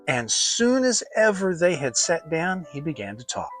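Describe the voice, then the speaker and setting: A man's voice: fairly high pitch, big pitch swings, moderate pace, fairly bright tone, faint music behind. A man narrating a children's story as a dramatic reading.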